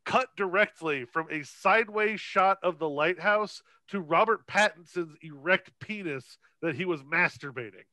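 Male podcast co-host, bright and dry-recorded, irreverent, foul-mouthed, off-the-cuff. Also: high voice, normal speed, few pauses, dry recording. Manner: profane, irreverent. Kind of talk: casual, unscripted film discussion.

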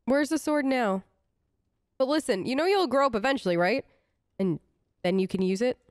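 Female let's player, fairly high voice, casual role-play commentary, chatty, reassuring, recorded dry. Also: expressive, normal speed, smooth, no room sound. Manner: chatty, reassuring, thinks aloud. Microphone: close microphone, dry voice-over.

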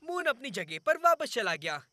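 A woman's voice: on the high side, sing-song, normal speed, sharp tone, no room sound. A woman voicing a plucky, earnest little boy lizard superhero in a children's cartoon dub, with a childlike manner. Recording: music under the voice.

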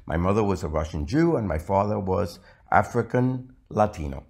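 A man, giving a recorded slide lecture in a measured way with frequent fillers. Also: low voice, lively intonation, vocal fry, a little mellow. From Venezuela.

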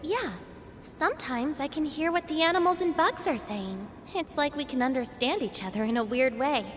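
High, earnest young heroine. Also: female voice, moderate pace. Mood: casual. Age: young adult. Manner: earnest, expressive. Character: earnest, feisty young woman mechanic, secretly a princess. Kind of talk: video game cutscene acting. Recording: long hall reverb, music underneath.

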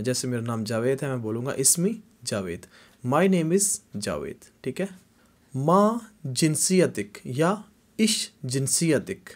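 Man, from Pakistan, indoor camera lesson, careful repeated phrasing, mid pitch. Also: darkish tone. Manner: repeats phrases slowly, rising pitch on examples. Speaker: language teacher. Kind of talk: casual video lesson. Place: small indoor room.

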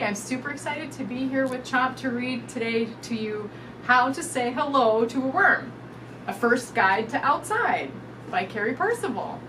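Woman, children's storytime reader, sing-song and very expressive, unhurried, distant mic, noisy background. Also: high voice. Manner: animated, sing-song, addressing young children. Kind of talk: expressive children's picture book read-aloud. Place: indoors in front of a curtain backdrop.

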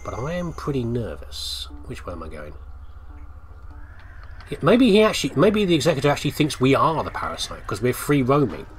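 A British man talks his way through a video game in a very lively, swooping voice. He is chatty and playful, muttering asides to himself, with light game ambience and noise behind him. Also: mid-range voice, brisk. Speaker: gamer doing a let's play. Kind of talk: casual game commentary, thinking aloud.

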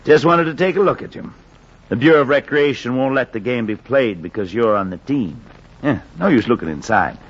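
Animated, brisk man in charge. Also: mid-range voice, breathy edge. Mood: officious. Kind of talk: 1940s radio acting. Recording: noisy, muffled 1940s radio recording.

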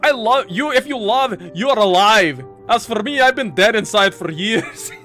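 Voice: mid-range voice, expressive, moderate pace, fairly bright tone, soft background music, lightly acted. A let's player voicing a small, blunt male cat companion from a game, tender yet dry and matter-of-fact. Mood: blunt affection.

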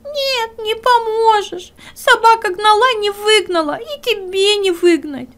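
Woman voicing a tearful little hare, very high-pitched. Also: fairly bright tone. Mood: plaintive, tearful. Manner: plaintive, whimpering, slow. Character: small, tearful, pitiful young male hare.

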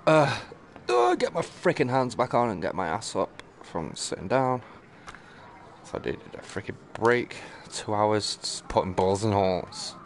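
A man's voice: on the low side, expressive, a little slow, slight background noise. A man casually muttering to himself with mild swearing while live-streaming a game.

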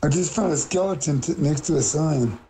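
A man's voice: a little high, a little fast, noisy background. A man playing a game with a friend, teasing him with crude banter. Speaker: streamer's friend. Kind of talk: casual gaming chat.

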